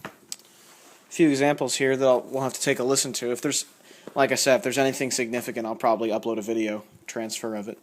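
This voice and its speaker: A man talks in a medium-pitched voice, casually and without a script. He rambles a little, thinking aloud and reading labels out as a collector showing off finds would. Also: level tone, quick pace, slight background noise. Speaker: record collector showing a haul. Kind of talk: casual unscripted show-and-tell commentary.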